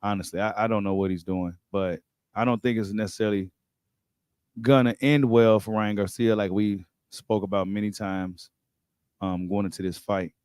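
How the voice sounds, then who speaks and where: A man with an American accent and a fairly low voice talks as a livestream host. His delivery is informal and slangy, and he often repeats a phrase to drive it home. The sound is dry and close. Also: steady tone, normal speed, a little mellow, smooth, dry recording. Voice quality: voice getting slightly worn. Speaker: host of a boxing talk livestream. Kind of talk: casual livestream chat and commentary.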